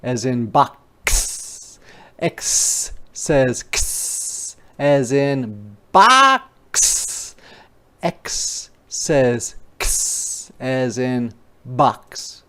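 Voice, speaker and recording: A teacher gives an interactive phonics read-aloud for young children. The delivery is extremely slow, with each sound and word carefully stretched and stressed. The voice is smooth and clear, with playful, expressive asides. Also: mid-range voice. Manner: exaggerated, deliberate enunciation of letter sounds and words.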